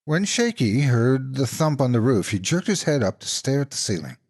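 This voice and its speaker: A man narrating a horror folktale in an expressive, suspenseful, scripted storytelling style. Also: medium pitch, moderate pace, breathy edge.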